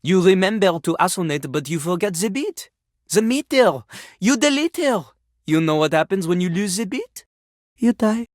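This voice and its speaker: Pompous, dramatic French poet-rapper, swooping delivery. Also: female voice, fairly low pitch, big pitch swings, moderate pace, a little mellow, no echo, studio quality. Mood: condescending. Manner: haughty, dramatic, speaks of himself in third person. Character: pompous French toilet poet-rapper, a boastful male character. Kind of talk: theatrical video game character dialogue.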